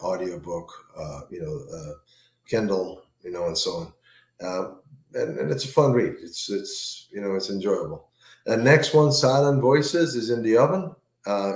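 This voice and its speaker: A man's voice: on the low side, darkish tone. A man in his sixties, an author guest chatting easily over a video call, with plenty of fillers and repeated words. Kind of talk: casual interview chat. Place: home room with plant, indoors. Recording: roomy video call audio.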